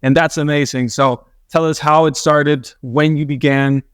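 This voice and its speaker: A man's voice: on the high side, fairly even intonation, moderate pace, clean tone, no echo, studio quality. A man hosting an in-person podcast interview, effusive and enthusiastic, slipping in the odd Spanish phrase, speaking into a handheld mic. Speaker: podcast host.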